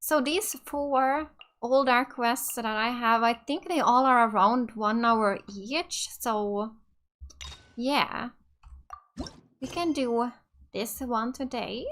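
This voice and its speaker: A woman's voice: on the high side, slow pace, a bit bright. A woman from Finland giving chatty, enthusiastic casual let's play commentary as a gaming host. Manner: chatty, enthusiastic about the game.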